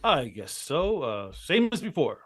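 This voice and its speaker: A man's voice: on the high side, sing-song, a little slow, fairly bright tone, heavily acted. A man acting the curt, dry, commanding leader of a trio of adventurers in an old-time radio drama.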